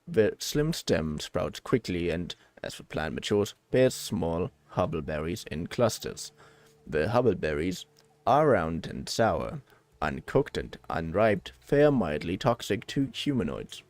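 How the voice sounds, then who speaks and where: Man doing a low, deliberate robot voice. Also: sing-song, a little mellow, no echo, exaggerated voice. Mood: flat, mechanical. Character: flat, slow robot reading out a plant encyclopedia.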